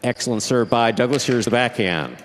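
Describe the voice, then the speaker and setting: A man commentating a match, friendly and quick to laugh, calling plays in short, brisk bursts. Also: mid-range voice, lively intonation, very noisy. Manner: friendly, laughing easily, brisk play calls. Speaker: play-by-play commentator. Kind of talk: sports commentary and banter.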